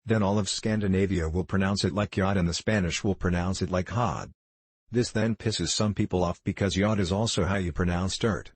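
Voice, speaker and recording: A man's voice: low voice, moderate pace, no echo. A man reading online forum comments aloud in a casual, offhand way. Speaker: comment reader.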